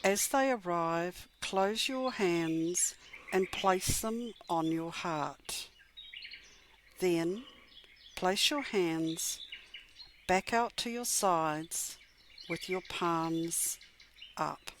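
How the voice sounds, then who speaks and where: Slow-paced woman guiding a meditation. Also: on the low side. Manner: measured, gentle, soothing delivery with long pauses. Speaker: meditation guide. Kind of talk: guided meditation narration. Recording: clear background noise with birdsong. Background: birdsong and chirping.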